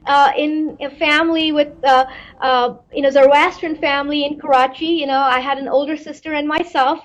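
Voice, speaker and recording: High-voiced woman answering with repeated restarts. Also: low-quality recording. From Pakistan. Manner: thoughtful, repeats words while searching for them. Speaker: astrophysicist guest. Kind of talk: interview answers.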